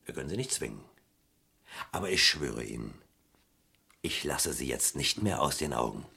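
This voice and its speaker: An actor playing a suspicious, methodical police detective in a German crime radio play, speaking deliberately and with pointed emphasis. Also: male voice, a bass voice, sing-song, gravelly, bright, forceful tone, husky, exaggerated voice. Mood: pressing, stern. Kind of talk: German crime radio play acting, mid to late 20th century.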